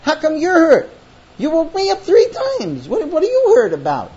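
A man's voice: sing-song, fast, a little mellow, slightly rough, muffled recording. A man voicing an aggrieved husband, protesting and asking incredulous questions. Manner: incredulous questioning, protesting, climbing high in exasperation. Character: baffled, aggrieved husband woken from sleep.

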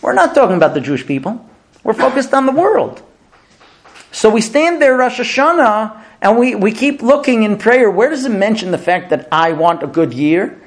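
A man's voice: high voice, big pitch swings, normal speed. A man giving a sermon as a rabbi and teacher, telling a story and asking rhetorical questions, often repeating words.

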